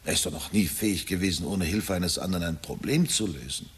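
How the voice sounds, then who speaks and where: Low, husky anxious middle-aged man. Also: a little fast. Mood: anxious, confiding. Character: anxious, brooding, evasive husband and father, middle-aged man. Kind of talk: German radio drama acting.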